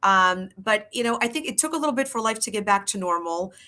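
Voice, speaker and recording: A Polish-born woman, a family lawyer, talking upbeat and quickly as an interview guest, with Polish her first language. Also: mid-range voice, lively intonation, bright, forceful tone. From Poland. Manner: upbeat and talkative, quick bursts. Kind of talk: interview conversation.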